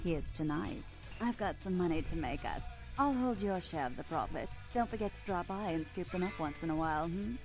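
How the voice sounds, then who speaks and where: A hard-nosed club owner, swooping pitch. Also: female voice, animated, normal speed, few pauses, music in the background. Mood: businesslike. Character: hard-nosed, businesslike female nightclub owner. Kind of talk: video game voice acting.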